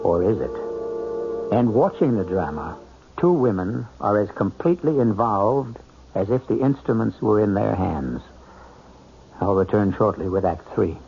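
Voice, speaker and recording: A man's voice: fairly low pitch, animated, measured pace, background noise. A man hosting a 1970s radio drama with portentous, dramatic scripted narration. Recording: dull old radio broadcast. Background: music.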